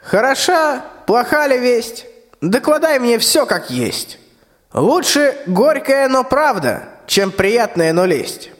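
Old tsar played by a man: high-pitched, imperious, folksy verse, long hall reverb. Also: very animated, slow, full character voice. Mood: imperious, wary. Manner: commanding, folksy rhymed speech. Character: vain, bossy, balding very old tsar. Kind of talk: stage-like rhymed radio play.

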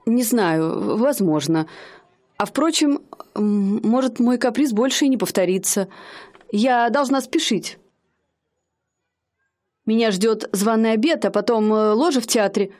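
An actress playing a haughty young woman posing as a high-society lady, speaking in an affected, aloof manner in a radio play. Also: a little slow, long pauses, darkish tone, lightly acted.

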